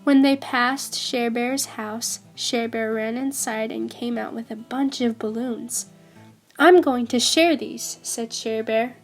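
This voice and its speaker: High-voiced woman reading a picture book. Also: little pitch movement, slow, few pauses, soft background music. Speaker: volunteer storybook reader. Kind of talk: children's picture book read-aloud.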